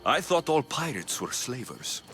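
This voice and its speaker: A man's voice: medium pitch, sing-song, measured pace, bright, forceful tone, soft background music, full character voice. A man playing an intense young ancient Greek mercenary in a dramatic video game cutscene. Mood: earnest, guarded.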